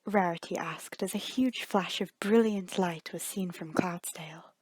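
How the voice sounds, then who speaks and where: A woman reading a scripted story as narrator, measured and dramatic, with deliberate pauses. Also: lowish voice, breathy edge, no echo.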